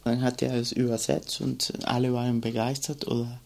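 Reflective man, gravelly voice. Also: mid-range voice, level tone, normal speed, a little mellow. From Cuba. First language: Spanish. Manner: reflective, searching for words with many fillers. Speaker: interviewee. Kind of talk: personal storytelling.

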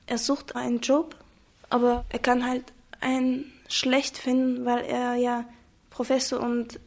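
Teen girl from chechnya, chechen is her first language, quiet and thoughtful, kinda monotone. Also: fairly high pitch. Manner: reflective, soft-spoken, hesitant with frequent pauses. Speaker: interviewee. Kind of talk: personal testimony.

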